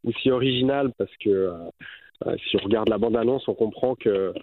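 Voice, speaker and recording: A man's voice: fairly low pitch, fairly quick, dry recording. A man in his thirties with a Swiss French accent, a guest filmmaker speaking reflectively with many fillers over a phone line. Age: 30s. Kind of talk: radio interview by phone.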